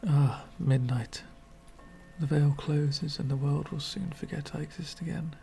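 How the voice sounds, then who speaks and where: A man playing a lonely, courteous, centuries-old vampire in an ASMR roleplay, speaking formally and wistfully in soft, breathy whispers. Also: a little high, fairly even intonation, soft background music. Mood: gracious, wistful. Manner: formal, wistful, slow and deliberate.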